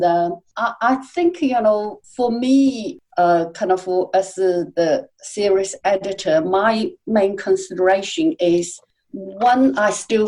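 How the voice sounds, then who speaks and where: A woman's voice: mid-range voice, darkish tone, low-quality recording. A middle-aged woman from China, a non-native speaker, talking in an interview as a series editor, with frequent fillers and restarts. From China, Hangzhou. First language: Chinese. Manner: hesitant, many fillers and restarts. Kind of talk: conversational interview.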